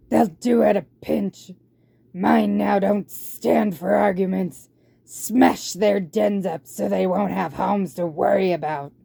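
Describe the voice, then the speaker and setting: A woman's voice: medium pitch, vocal fry, dark tone, husky, dry recording, heavily acted. A woman voicing a villainous rat warlord in a storybook, curt, commanding and menacing as he orders his horde about.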